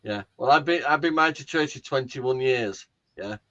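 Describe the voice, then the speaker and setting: A man's voice: mid-range voice, normal speed, fairly bright tone, no room sound. A man in his late forties chatting casually with friends, jokey, teasing and sweary. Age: late 40s. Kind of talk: casual group chat. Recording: dull lo-fi chat audio.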